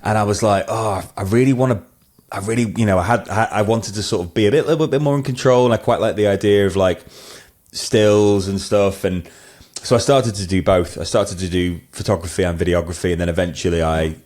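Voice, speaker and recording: A middle-aged man with a low voice and a twangy blend of Scouse and Mancunian. He chats casually as an interview guest, rambling through anecdotes with a self-deprecating touch. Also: steady tone, brisk, a little mellow. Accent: hybrid Scouse-Mancunian. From northwest England, Wirral and Manchester. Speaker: podcast guest, wedding photographer.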